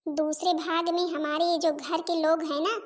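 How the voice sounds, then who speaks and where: A woman's voice: extremely high pitch, flat delivery, unhurried. A woman voicing put-upon Indian daughters-in-law in a family comedy cartoon, with a complaining, pleading manner. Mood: chatty. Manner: complaining, pleading, addresses relatives and viewers directly.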